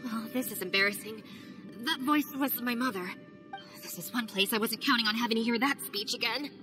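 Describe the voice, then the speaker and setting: A woman voicing a calm, dutiful young female ninja in a video game, speaking in a measured way. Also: fairly high pitch, soft background music.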